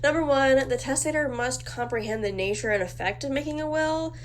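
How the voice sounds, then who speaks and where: A woman's voice: high-pitched, a little fast. Woman with a cold, slightly nasal. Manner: reads formal text with small slips and restarts. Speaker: student presenter. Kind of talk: reading a prepared class presentation.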